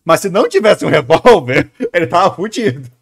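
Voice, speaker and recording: A man's voice: high-pitched, sing-song, fairly quick, gravelly. A man with a Brazilian accent giving casual, slangy, argumentative reaction commentary as a streamer.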